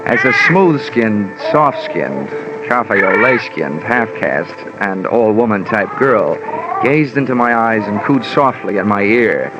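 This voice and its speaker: A man's voice: mid-range voice, lightly acted. An American actor playing a wry, hard-boiled male insurance investigator in an old-time radio detective drama, with a sardonic, hammed-up delivery. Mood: dreamy, wry. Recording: dull vintage radio recording, music behind.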